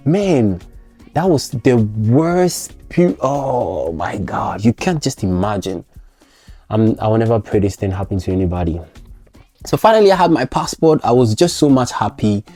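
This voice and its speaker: A man's voice: mid-range voice, expressive, normal speed, dark tone, soft background music. A man vlogging about his trip, recounting it animatedly with many restarts and self-corrections. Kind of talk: casual travel storytelling.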